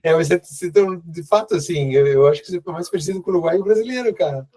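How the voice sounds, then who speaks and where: A man's voice: fairly high pitch, rapid-fire, warm tone. A man interviewing a guest, mostly giving short backchannels and adding informal comments of his own. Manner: brief backchannels, friendly informal asides. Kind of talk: casual interview chat.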